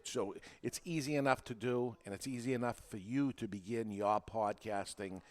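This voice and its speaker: Male podcast host, mid-pitched, making his case informally and emphatically, with repetition and rhetorical questions. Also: normal speed, darkish tone. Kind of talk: informal talk show monologue, explaining and persuading.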